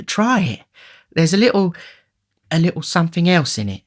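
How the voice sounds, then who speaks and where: A man's voice: on the high side, very animated, moderate pace, pitched above the performer's own voice. A man voicing an older matron lady in a storybook, flustered and whimpering, with a breathless, plaintive flutter. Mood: faint, coy.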